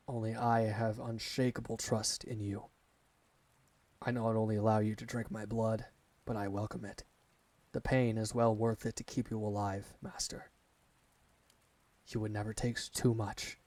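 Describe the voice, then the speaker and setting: A man's voice: on the low side, little pitch movement, darkish tone, no echo, lightly acted. A man playing a devoted alpha werewolf servant in an ASMR roleplay, speaking formally and earnestly to his master. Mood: devoted, reverent. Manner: formal, measured, reverent address to a master.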